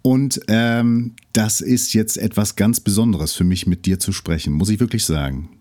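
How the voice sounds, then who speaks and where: A man's voice: fairly low pitch, normal speed. A man from Hamburg hosting a podcast, who asks questions in a jokey, playful way. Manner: jokey, warm-hearted fan. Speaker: podcast host. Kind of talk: casual interview chat.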